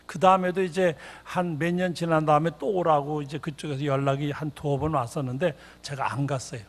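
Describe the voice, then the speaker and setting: An older retired pastor, born in North Korea, preaching a revival sermon in a church with repeated rhetorical questions. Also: male voice, on the high side, steady tone, a little fast. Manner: sings a hymn, then preaches with repetitive rhetorical questions. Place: church sanctuary. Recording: long church reverb.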